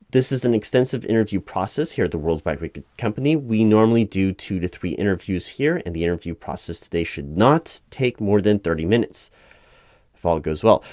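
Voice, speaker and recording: A man with a low voice plays an officious recruiting manager in a spoken-aloud ASMR roleplay. He explains things patiently but haltingly, as if improvising the interview. The sound is dry and close, with very little room in it. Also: darkish tone, no room sound. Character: flustered, officious male recruiting manager at a company. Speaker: job interviewer in a one-sided roleplay.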